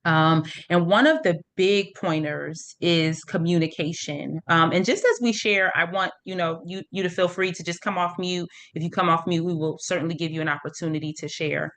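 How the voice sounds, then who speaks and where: A middle-aged woman from the United States with a slightly low voice leads a group session over a video call. She explains things informally, step by step, and often checks that listeners are following. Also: steady tone, moderate pace, few pauses, fairly bright tone. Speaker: parent support group host. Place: online video meeting.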